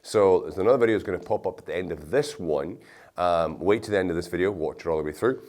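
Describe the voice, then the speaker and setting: Deep-voiced, slightly nasal man instructing. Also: quick pace, darkish tone. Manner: casual, reassuring, step-by-step explaining. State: blocked nose from a cold. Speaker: exercise instructor. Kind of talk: instructional video demonstration.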